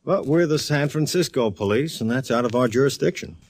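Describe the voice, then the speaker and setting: An actor playing a dry, easygoing male police inspector in a 1940s radio drama, bantering in a deadpan way. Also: mid-range voice, brisk, no room sound.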